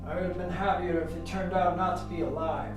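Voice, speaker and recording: A man's voice: high voice, steady tone, fairly quick. Man acting a sardonic scientist, very echoey. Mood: uneasy. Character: sardonic, sceptical male scientist. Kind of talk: radio drama acting. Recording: very echoey, distant, music behind.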